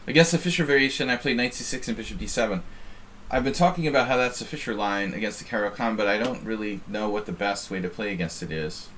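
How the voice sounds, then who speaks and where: A man's voice: fairly low pitch, fast talker, fairly bright tone, a little hiss or hum. An American man casually chatting while streaming online chess, rambling and self-deprecating as he reads the chat. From United States.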